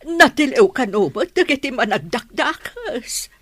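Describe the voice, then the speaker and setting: Radio drama role: concerned mother, Ilocano accent, fairly high, husky, close and dry. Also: big pitch swings, a little slow, a bit bright, dry recording, heavily acted. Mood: worried.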